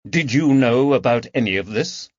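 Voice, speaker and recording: A man's voice: mid-range voice, lively intonation, moderate pace, dry recording, muffled recording, voice pushed up, close to an everyday voice. A man voicing a shrewd, coldly mocking detective in an audiobook, with a dry, taunting manner. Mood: probing, sarcastic. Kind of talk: audiobook character dialogue.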